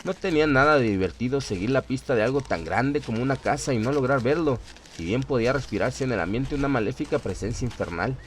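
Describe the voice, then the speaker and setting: A man's voice: medium pitch, lively intonation, fast, few pauses, background noise. A man reading scripted horror narration in a dramatic storytelling style.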